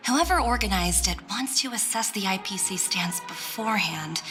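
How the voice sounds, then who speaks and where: A woman voicing a shrewd, confident corporate director in a video game, composed and knowing, with quiet authority. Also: mid-range voice, natural voice. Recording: faint music behind.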